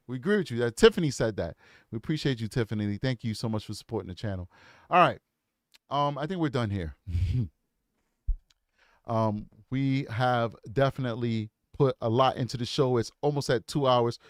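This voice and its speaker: Hoarse man hosting a livestream. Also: medium pitch, no room sound, studio quality. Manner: upbeat, repeats himself, frequent ums. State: hoarse, losing his voice. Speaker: true crime livestream host. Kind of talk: casual livestream chat reading super chats.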